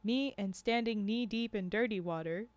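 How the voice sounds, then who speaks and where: A woman's voice: medium pitch, normal speed, dry recording, close to an everyday voice. Woman voicing a boastful male hunting guide. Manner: bragging, terse broken English. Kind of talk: storybook character reading.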